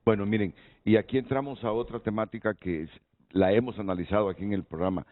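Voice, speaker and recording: A man's voice: on the low side, fairly even intonation, fast talker. A man hosting a TV panel, posing questions and inviting guests' opinions with hesitant restarts. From Honduras. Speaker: TV talk show host. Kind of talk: moderating a panel discussion. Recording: tinny lo-fi broadcast audio.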